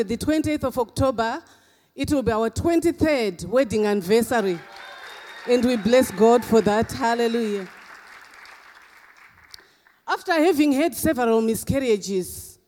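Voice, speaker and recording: Middle-aged Zimbabwean-accented man preaching, booming hall. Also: darkish tone. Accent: Zimbabwean, mixed with English and Australian. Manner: emphatic, punctuated with frequent hallelujahs. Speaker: guest preacher. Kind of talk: sermon. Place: church. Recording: long church reverb.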